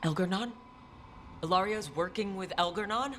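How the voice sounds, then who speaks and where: A woman's voice: medium pitch, normal speed, a bit bright, slight background noise, close to an everyday voice. A woman playing a blunt, wry adventurer in a video game, with music under the voice. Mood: disbelieving.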